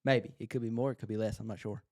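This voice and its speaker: A man's voice: on the low side, fairly even intonation, fast, a little mellow, no echo, clean studio sound. A man co-hosting a podcast in casual chat, with an informal, matter-of-fact way of talking. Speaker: podcast co-host. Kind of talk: casual chat about books and shows.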